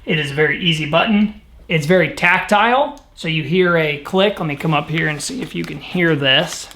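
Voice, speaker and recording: A man's voice: a little high, normal speed, pushed and bright, slight background noise. A man giving a casual, conversational product review of outdoor gear, explaining things in a matter-of-fact way. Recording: roomy echo.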